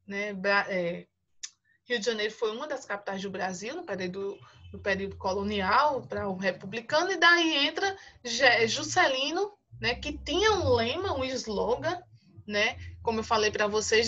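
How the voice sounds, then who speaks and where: A fairly high-voiced woman teaching a geography class online. She explains patiently and ends nearly every phrase with a quick tag question. The sound is dull and lo-fi, like a compressed video-class recording. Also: fairly bright tone. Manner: explanatory, tag questions "né?" and "certo?" after each point.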